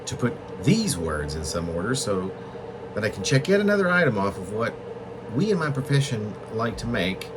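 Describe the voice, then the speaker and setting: A man with a low voice reads his own poetry with lively, swinging intonation. His phrasing is deliberate and halting, pausing in the middle of thoughts. The recording has a clear background hum, like air conditioning. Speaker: poet reading own work. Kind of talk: literary poetry reading, reflective monologue.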